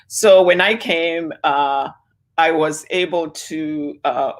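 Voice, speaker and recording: A woman's voice: sits low, slow. A woman in her sixties, born and raised in Zimbabwe, answering interview questions over a video call with many fillers and restarts. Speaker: interview guest.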